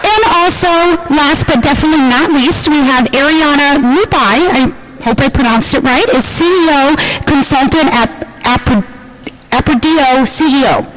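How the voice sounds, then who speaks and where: High-voiced upbeat female emcee. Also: moderate pace, low-quality recording. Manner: upbeat announcer introducing speakers. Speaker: event emcee. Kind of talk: scripted stage announcement.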